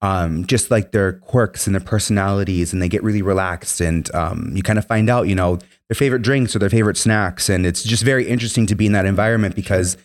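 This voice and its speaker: A man's voice: on the low side, quick pace, hardly any pauses. A young man telling his story as an interview guest, earnest and meandering, full of "um" and "you know". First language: Spanish. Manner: heavy fillers, earnest, meandering. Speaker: guest, former military member.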